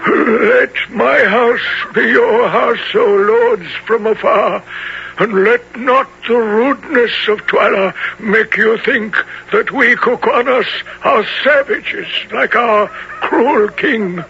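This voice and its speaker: High, creaky old African nobleman. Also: exaggerated voice. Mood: welcoming. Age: older. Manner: slow, archaic formal phrasing. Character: kindly old African nobleman, mournful and gentle. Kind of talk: 1970s radio drama acting. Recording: dull, noisy old radio broadcast.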